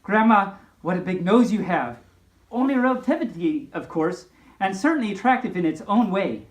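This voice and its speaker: A woman acts out a confident young storybook girl, proper and easily offended. She lectures in declamatory, animated tones, with audible room echo. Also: mid-range voice, normal speed, close to an everyday voice. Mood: cheerful, condescending.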